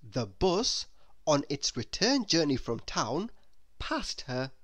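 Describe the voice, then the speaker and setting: A woman's voice: deep voice, big pitch swings, slow. A woman reading a children's storybook aloud, expressively and as if speaking straight to young listeners.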